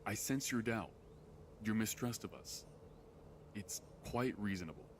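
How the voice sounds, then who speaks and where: A man acting a grave, patient mentor of a secret order in an audio drama, speaking in measured, formal phrases. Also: fairly low pitch, a little hiss or hum, lightly acted. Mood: solemn, probing. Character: solemn, patient male mentor of a secret circle.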